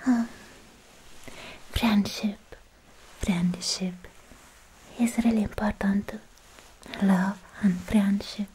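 Casting: actress as tender anime-style demon slayer girlfriend, young adult woman, breathy whisper, extremely unhurried, mellow tone, ASMR roleplay. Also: mid-range voice, fairly even intonation, rough recording. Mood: shy, tender. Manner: slow, halting, affectionate murmuring. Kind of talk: ASMR personal attention roleplay. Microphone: close microphone, whispering near it. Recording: faint hiss, mouth clicks, close-up.

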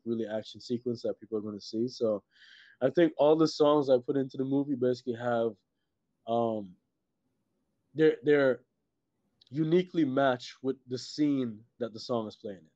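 Male interview guest, middle-aged, from Trinidad and Tobago; relaxed tone, halting casual delivery, dull video-call sound. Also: mid-range voice, no echo. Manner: halting, repeats words, peppers talk with "you know" and "like". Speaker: guest, filmmaker and musician.

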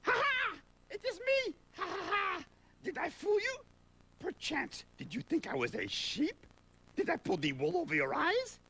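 A gravelly, shrill goofy sheep puppet. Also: male voice, very animated, unhurried, bright, forceful tone, exaggerated voice. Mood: gleeful. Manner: gleeful cackling, grand old-fashioned phrasing. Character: goofy excitable male sheep puppet, theatrical.

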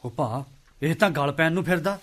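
ਉੱਚੀ ਸੁਰ ਵਾਲਾ ਸੁਲ੍ਹਾ-ਪਸੰਦ ਪੇਂਡੂ. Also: male voice, fairly high pitch, lively intonation, moderate pace. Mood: exasperated. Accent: Punjabi. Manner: conversational, mediating. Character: peacemaking male villager, exasperated but reasonable. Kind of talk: radio play acting.

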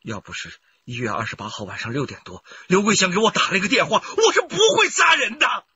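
An actor playing a desperate, scheming husband in a radio crime drama, emotional in delivery. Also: male voice, high voice, sing-song, fast, sharp tone, dull audio, slight background noise, full character voice. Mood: anguished, defensive. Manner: emotional, pleading, swinging to outbursts. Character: desperate, scheming adult husband confessing to murder.

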